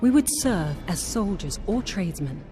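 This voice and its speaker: A woman voicing a dignified, humble exiled noblewoman pleading for her people in measured, formal speech. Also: mid-range voice, expressive, over music, natural voice. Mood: solemn.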